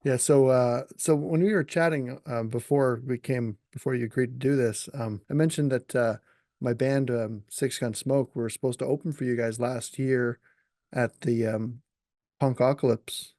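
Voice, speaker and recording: A man from eastern Canada hosts a casual podcast, talking in a loose, unhurried way with plenty of fillers and easy laughter. His voice sits mid-range for a man and the sound is dry, with no room around it. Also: medium pitch, steady tone, mellow, dry recording. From New Brunswick, Canada. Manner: easygoing, many ums and uhs, laughs often. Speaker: podcast host. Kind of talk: casual interview chat.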